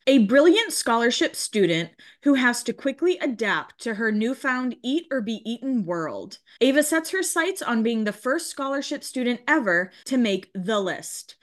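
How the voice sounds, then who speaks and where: A woman's voice: fairly high pitch, a bit bright. A woman reading scripted narration to promote a podcast.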